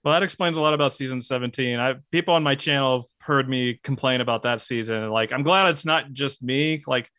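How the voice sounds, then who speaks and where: A man in casual chat who talks in a steady, even way, with lots of likes and fillers. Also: medium pitch, fairly quick, hardly any pauses, no echo. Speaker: podcast guest, YouTuber. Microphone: close mic, hands bump it.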